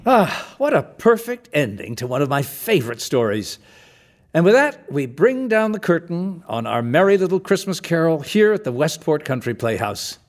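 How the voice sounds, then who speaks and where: A man acting as the genial, wistful narrator of a storybook radio play, with theatrical, measured phrasing. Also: fairly high pitch, sing-song. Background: music.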